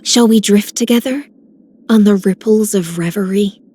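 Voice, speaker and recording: Woman as a mellow, dreamy sea maiden. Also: medium pitch, normal speed, husky, natural voice. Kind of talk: video game character voice lines.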